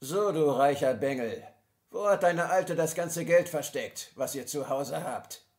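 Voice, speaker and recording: A man's voice: fairly high pitch, animated, a little slow. A man playing a menacing, sneering kidnapper in an audio drama.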